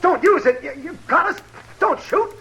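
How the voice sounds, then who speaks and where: A nervous outlaw in a classic radio western. His rough, folksy voice swings widely in pitch. The old broadcast carries faint noise. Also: lively intonation, normal speed, sharp tone, exaggerated voice. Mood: frightened. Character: nervous male bank robber, caught outlaw. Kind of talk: 1950s radio western acting.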